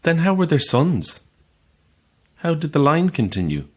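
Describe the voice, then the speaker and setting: A man's voice: a little high, huge pitch swings, unhurried, slightly rough, full character voice. A man playing a sceptical, grumbling holidaymaker in a naturalistic audio horror drama, with a dry, terse, questioning manner. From Ireland.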